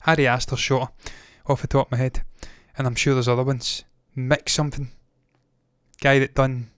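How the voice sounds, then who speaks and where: A man's voice: mid-range voice, fairly bright tone, smooth, no echo, clean studio sound. A man in his thirties hosting a movie news show, talking casually, with rambling opinionated asides. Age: 30s.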